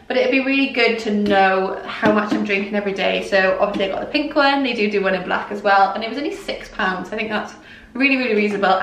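A woman in her thirties from Wales with a slight Welsh accent, chatting brightly to camera about things she has bought, with lots of rambling asides. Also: mid-range voice, recorded from a distance. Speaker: fashion vlogger. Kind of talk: casual haul chat to camera. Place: small bright room indoors, in front of a door. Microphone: camera a few feet away. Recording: roomy sound, mic set back.

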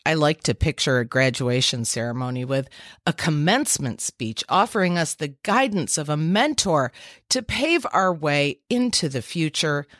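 A woman in her fifties, a podcast host, delivering a mock commencement speech in a measured, deliberate way with emphatic pauses. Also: sits low, expressive, fairly bright tone, dry recording, clean studio sound. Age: 50s. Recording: music bed underneath.

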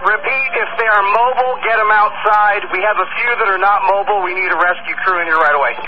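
A man's voice: high voice, fast. A male police officer giving terse, commanding, urgent orders over a police radio. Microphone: two-way radio. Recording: muffled noisy police radio.

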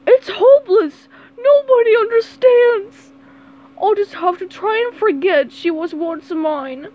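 A woman's voice: extremely high pitch, slow, muffled recording. A woman voicing a melodramatic old miserly male crab in an amateur comic dub, wailing and overwrought.

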